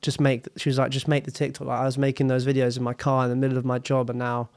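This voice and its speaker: A young British man hosting a casual podcast, cheeky and sweary, his voice going hoarse. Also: mid-range voice, completely flat delivery, very fast. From Britain. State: losing his voice. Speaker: podcast host.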